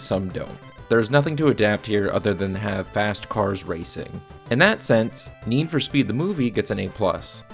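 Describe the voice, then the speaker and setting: A man's voice: fairly low pitch, vocal fry. A man narrating a casual, scripted movie review in a blunt, sometimes profane way. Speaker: video reviewer. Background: faint background music.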